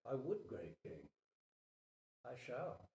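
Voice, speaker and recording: A man voicing a noble, selfless deer king in a storybook parable, grave, measured and resolute. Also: medium pitch, huge pitch swings, gravelly, mellow, voice pushed down, full character voice. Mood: calm resolve. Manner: solemn, measured, pleading with dignity. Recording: roomy, echoing live recording.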